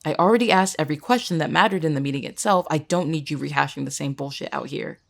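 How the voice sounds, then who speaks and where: A woman playing a determined, embittered young student, sharp-tongued and sarcastic, in a dramatic audio roleplay. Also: deep voice, fast, vocal fry. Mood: angry, defensive. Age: young adult. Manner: sarcastic, emphatic, swearing.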